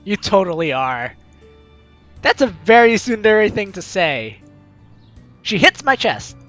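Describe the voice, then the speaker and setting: A woman's voice: medium pitch, big pitch swings, slow, fairly bright tone, highs cut off, faint music behind. A young woman reading a visual novel aloud as a gaming host, with theatrical, teasing asides. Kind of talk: animated let's-play read-aloud and commentary.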